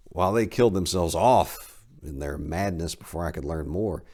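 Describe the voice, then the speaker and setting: A man voicing an ancient fallen angel who speaks in a measured, earnest, solemn way, read aloud in a study with a lapel mic. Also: low voice, darkish tone, slightly rough, exaggerated voice. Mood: pleading. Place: study lined with bookshelves.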